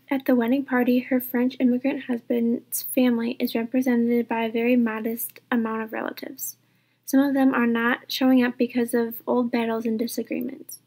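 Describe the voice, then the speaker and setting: A young woman reads a student book report aloud at a careful, steady pace. Her voice sits a little high yet stays flat and even. Its tone is soft and mellow. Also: low-quality recording. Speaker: student presenter.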